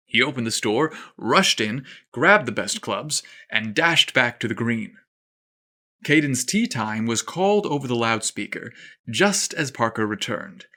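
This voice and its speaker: A man reading a scripted story aloud with expressive, playful and dramatic delivery. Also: medium pitch. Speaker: storyteller podcast narrator.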